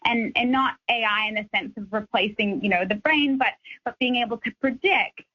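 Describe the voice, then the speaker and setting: A woman researcher explaining her work as a radio interview guest, in halting phrases. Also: fairly high pitch, breathy edge, dull audio.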